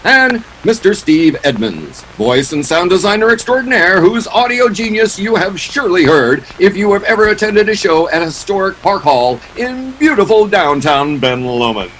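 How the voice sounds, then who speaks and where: High, animated old-time radio announcer man. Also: moderate pace, hardly any pauses, a little hiss or hum, low-quality recording. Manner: grand, showy presentation. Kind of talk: 1940s radio announcing, scripted.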